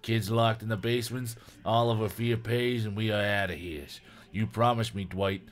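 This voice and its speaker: A man's voice: on the low side, fairly even intonation, moderate pace, slight background noise, lightly acted. Man putting on a volatile, snarling kidnapper. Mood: angry, accusing. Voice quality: raspy.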